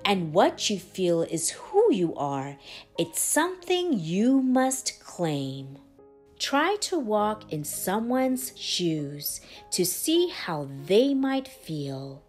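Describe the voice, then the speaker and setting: A woman reads a children's picture book aloud in verse. Her pitch rises and falls expressively while the pace stays slow and deliberate, giving each phrase some drama. Music plays behind her voice. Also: very animated, a little mellow. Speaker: storybook reader.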